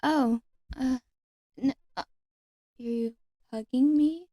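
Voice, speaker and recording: A woman voicing a lonely, ancient nature fairy, sweet and timid, speaking haltingly and sometimes whispering in an ASMR roleplay. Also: high-pitched, warm tone, no echo, clean studio sound. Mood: timid, tender. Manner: hesitant, halting, apologetic, often whispering. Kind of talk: ASMR roleplay, one-sided conversation with the listener.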